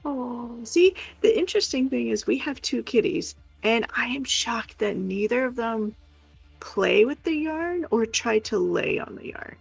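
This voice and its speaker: A woman's voice: a little high, expressive, unhurried, gravelly, breathy edge, no room sound, faint music behind, poor audio. A woman chatting casually and informally as a co-host on a livestream. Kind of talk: casual chat.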